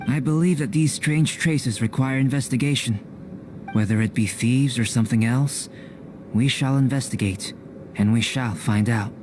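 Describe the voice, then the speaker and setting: Calm, scholarly young man over noisy ambience acted. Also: mid-range voice, moderate pace, lightly acted. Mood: composed, intrigued. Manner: precise, formal, contemplative. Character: calm young male alchemist scholar, measured and analytical. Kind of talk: scripted game cutscene acting.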